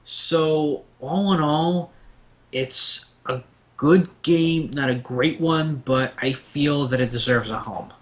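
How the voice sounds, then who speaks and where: A man's voice: on the high side, slow pace. A man in a casual gaming discussion, giving opinions in a rambling, storytelling way as a panelist.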